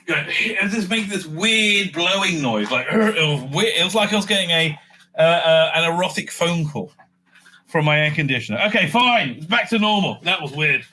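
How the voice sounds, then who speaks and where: A man with a fairly high, strikingly bright and sharp voice hosts a casual review stream. He rambles off the cuff with firm opinions, often checking in with a short "right?" at the end of a thought. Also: a little hiss or hum. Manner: rambling, opinionated, repeatedly tags phrases with "right?". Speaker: host of a livestream review show. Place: indoors at home.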